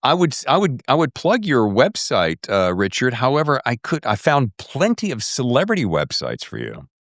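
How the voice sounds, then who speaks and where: A man's voice: medium pitch, huge pitch swings, moderate pace, fairly bright tone, no echo. A middle-aged man hosting a podcast in casual, enthusiastic and effusive interview chat, speaking close to the microphone. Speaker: podcast host.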